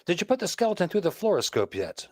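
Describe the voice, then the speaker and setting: A man's voice: high voice, huge pitch swings, fast, a little mellow, no room sound, clean studio sound, heavily acted. A man playing a witty, playful detective and teasing husband in a vintage-style radio play, with wry theatrical delivery. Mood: inquisitive.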